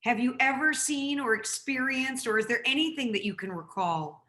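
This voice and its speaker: A woman's voice: high voice, moderate pace, sharp tone. A woman reporter hosting an interview in a conversational broadcast style.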